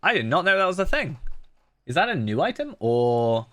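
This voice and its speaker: A man's voice: fairly high pitch, sing-song, normal speed, a bit bright, dry recording. A man live-streaming a game, chatting casually and thinking aloud, with a blocked nose that makes him sound slightly nasal. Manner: chatty, thinking aloud with many fillers and restarts. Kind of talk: casual live-stream commentary while playing.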